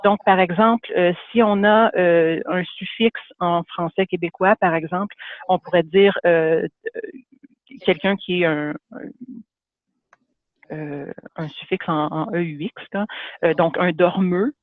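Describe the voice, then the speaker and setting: High-voiced Canadian French man lecturing. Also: fairly even intonation, a little slow, dry recording. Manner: hesitant, many fillers and restarts. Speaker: webinar presenter. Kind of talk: lecture for an online audience. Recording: phone-like dry webinar audio.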